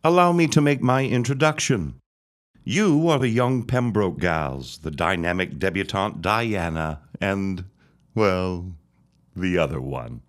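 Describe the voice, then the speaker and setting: An actor playing a vengeful, menacing old reverend who taunts with pompous, mock-courtly manners in a period audio drama. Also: male voice, low voice, lively intonation, slow pace. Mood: mock-courtly menace.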